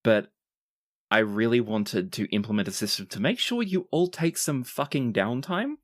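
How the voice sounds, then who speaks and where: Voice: mid-range voice, moderate pace, dry recording. Game master with a dry, close sound. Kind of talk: explaining tabletop game rules and narrating.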